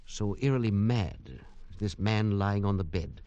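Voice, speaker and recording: A man doing a worldly traveller in an old radio drama, who tells his eerie story in a measured, grave way. His voice sits quite low. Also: warm tone, no echo, dull audio, lightly acted. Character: man named Waterhouse, worldly traveller recounting a curse. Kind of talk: 1940s radio drama narration.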